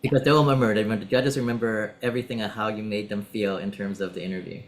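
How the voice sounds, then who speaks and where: A man's voice: on the low side, fairly even intonation, rapid-fire. A man coaching pageant contestants through a practice interview, directive and encouraging, explaining step by step. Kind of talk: coaching session.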